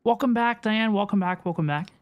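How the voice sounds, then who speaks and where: A woman livestreaming a game, chatting casually with viewers in an animated, repetitive way as she reacts and reads chat. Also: mid-range voice, a little fast, highs cut off. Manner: animated, repeats questions, reads chat aloud. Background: game music.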